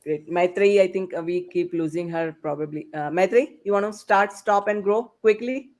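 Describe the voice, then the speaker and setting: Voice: medium pitch, normal speed, a little mellow. Informal panel host on a video call. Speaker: podcast host moderator. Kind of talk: live online panel moderation.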